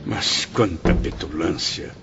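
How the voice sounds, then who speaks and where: An actor playing a haughty, indignant spirit patient with a formal, cutting, theatrical delivery in an audiobook drama. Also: male voice, low-pitched, slow, vocal fry, loud music behind the voice, heavily acted. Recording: dull lo-fi audio with faint noise.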